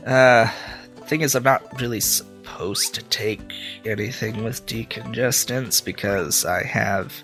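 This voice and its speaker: A man's voice: mid-range voice, slow pace, a bit bright, soft background music. A man with a stuffy nose from a cold, rambling through casual gameplay commentary with many filler sounds. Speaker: let's play host.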